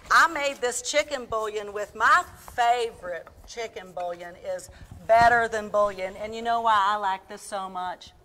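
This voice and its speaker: A bright, fairly high-pitched folksy housewife played by a woman chats her way through a live comedy cooking demonstration. She talks straight to the folks watching in a homespun way, over slight background noise. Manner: folksy, addresses audience as folks and y'all. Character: folksy, chatty Midwestern housewife hosting a cooking show. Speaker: cooking show host.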